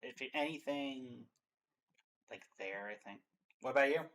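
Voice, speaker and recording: A man's voice: mid-range voice, moderate pace, fairly bright tone. A man chatting casually as he hosts and comments in a reaction show.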